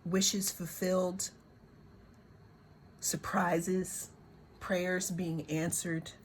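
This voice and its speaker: A woman giving a reflective spiritual card reading, her voice hoarse, talking in unhurried, circling phrases that often repeat words. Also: on the low side, level tone, a little hiss or hum. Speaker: tarot and oracle card reader.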